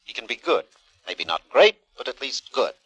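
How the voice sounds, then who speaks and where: An actor playing a cynical, sardonic, quietly protective man in terse 1950s radio drama style. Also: mid-range voice, very animated, normal speed, husky, no room sound, low-quality recording. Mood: cynical, grave. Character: cynical, dry male friend, quietly protective. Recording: 1950 radio broadcast.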